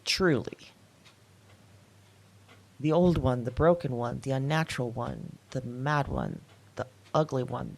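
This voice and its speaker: Slow, low-voiced actress as a fearful outcast woman. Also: darkish tone, heavily acted. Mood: brooding, bitter. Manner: halting, emphatic, short broken phrases. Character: afraid, defiant older outcast woman hiding alone in woods. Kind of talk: intimate confessional audio drama monologue.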